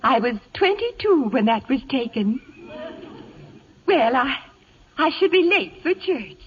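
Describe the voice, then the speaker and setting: A woman's voice: high voice, big pitch swings, a little slow, husky, exaggerated voice. A woman playing a timid, wistful, eccentric older spinster in a 1940s radio comedy. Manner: timid, fluttery. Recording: dull vintage radio recording.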